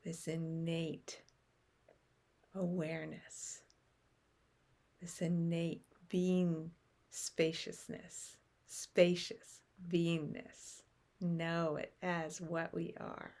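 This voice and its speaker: A woman leading a guided meditation in a slow, gentle way, with long pauses between short phrases. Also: lowish voice, little pitch movement.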